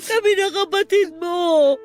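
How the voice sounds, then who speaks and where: A woman's voice: very high voice, unhurried, soft background music. A woman playing an anguished, loving, middle-aged mother in a Filipino radio drama, with a tearful, pleading delivery. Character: anguished, loving mother reunited with her long-lost son.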